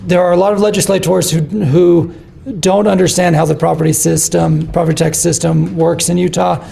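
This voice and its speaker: A man moderating a city policy committee meeting, brisk and organized, often repeating words as he goes. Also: fairly high pitch, creaky, warm tone, slight background noise.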